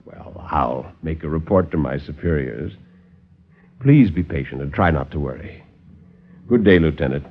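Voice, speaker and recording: A man voicing a calm, guarded psychiatrist, soft-spoken and measured, in 1950s radio drama style. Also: a bass voice, slightly rough, pitched below the performer's own voice, exaggerated voice. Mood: placating. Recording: muffled 1950s radio recording.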